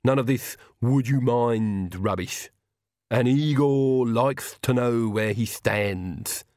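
A man doing a slow, muttering old manservant. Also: mid-range voice, darkish tone, no room sound, clean studio sound, close to an everyday voice. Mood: proud. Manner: slow, muttering, self-pitying grumble. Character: grumbling hunchbacked old male servant.

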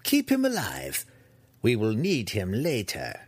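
Male narrator as scheming elf matriarch, imperious, wry, husky, dry close sound, fantasy audiobook. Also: fairly low pitch, wildly swooping pitch, dry recording, heavily acted. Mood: commanding. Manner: condescending, wry, drawn-out delivery.

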